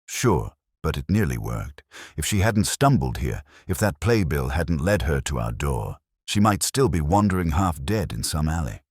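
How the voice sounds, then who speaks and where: Male narrator as flippant male assistant sleuth, deep voice, wry, breezy, animated, detective audiobook. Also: normal speed, few pauses, breathy edge, no echo, studio quality, full character voice.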